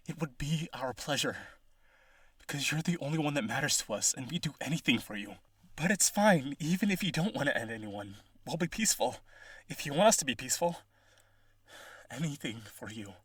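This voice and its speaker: A man's voice: a little high, very animated, moderate pace, a bit bright, breathy edge, no echo, heavily acted. A man playing a rich, devoted vampire aristocrat in a roleplay audio, earnest and pleading, with gushing, hesitant speech. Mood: devoted, coaxing.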